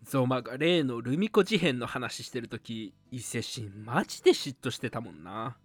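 A man acts a matter-of-fact young friend in a homemade radio drama. He teases in a dry, resigned way at an ordinary pitch, over quiet music. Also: animated, moderate pace, no echo, lightly acted. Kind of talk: amateur radio drama dialogue.

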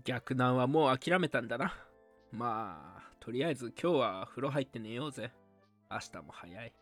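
A man's voice: mid-range voice, animated, moderate pace, fairly bright tone, soft background music. A man playing a matter-of-fact young friend who teases drily in an amateur radio drama. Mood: dry, resigned.

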